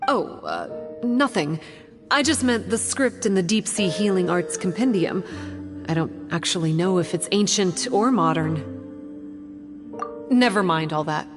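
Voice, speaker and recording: A woman playing a composed, guarded young woman who explains things in a measured way in a video game, music behind. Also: lowish voice, animated. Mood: evasive. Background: game background music.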